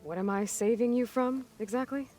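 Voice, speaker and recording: A woman's voice: a little high, normal speed, mellow, faint music behind, natural voice. An actress playing a determined young female adventurer in a video game, speaking in a direct, questioning manner. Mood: puzzled, skeptical. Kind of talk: video game character acting.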